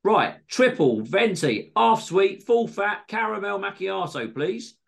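A man's voice: sits high, sing-song, slow pace, creaky, fairly bright tone, pitched above the performer's own voice, heavily acted. A man voicing an irritable, demanding husband in a comic story, with pantomime exasperation and blunt outbursts. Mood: mock irritation.

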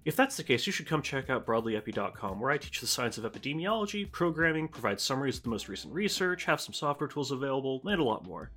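A man's voice: mid-range voice, sing-song, quick pace, few pauses, sharp tone, soft background music. A man giving a scripted promotional read as a science educator.

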